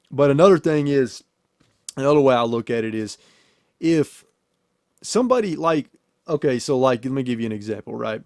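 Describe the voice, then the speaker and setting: A man's voice: medium pitch, a little mellow, dry recording, clean studio sound. A man hosting a podcast in a casual, profane, storytelling chat style. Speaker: podcast host and YouTuber.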